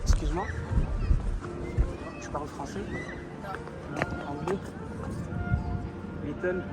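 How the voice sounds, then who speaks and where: A young man vlogging on location outdoors at an urban monument at night, excitable and often dropping to hushed tones. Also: a little high, slow, loud music behind the voice. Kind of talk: on-location vlog.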